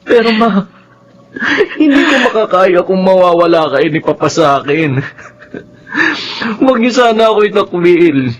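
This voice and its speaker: A man's voice: high voice, big pitch swings, normal speed, long pauses. A man acting a guilt-ridden grown son desperate for his parents' approval, pleading emotionally in a Filipino radio drama. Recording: dull radio audio, faint background noise.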